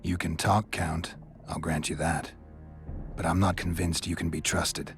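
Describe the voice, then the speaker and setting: A very low, gravel-voiced monster hunter from a fantasy game. He is terse, skeptical and dryly unhurried, with clear music playing behind him. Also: level tone. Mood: dry skepticism. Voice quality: gravelly, very deep. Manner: laconic, dry, unhurried. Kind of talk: video game cutscene dialogue.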